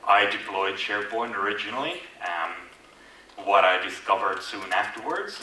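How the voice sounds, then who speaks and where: A man's voice: on the low side, sharp tone. A man from Finland with a Finnish accent tinged with Irish, giving a casual, self-deprecating conference talk with many fillers and restarts. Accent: Finnish, with Irish influence. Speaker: conference speaker. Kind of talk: conference presentation. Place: large conference hall. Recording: echoey hall, distant mic, faint noise.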